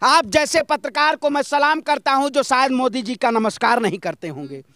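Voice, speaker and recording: A man's voice: very high voice, moderate pace, sharp tone, no echo, studio quality. A man from northern India giving political opinions in an impassioned, rhetorical street-speech style, emphatic and fond of repetition.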